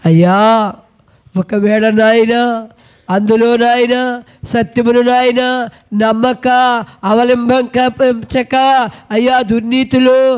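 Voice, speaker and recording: A man's voice: extremely high pitch, smooth. A man leading a spoken prayer with a repetitive, pleading cadence, heard from farther off at times. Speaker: prayer leader.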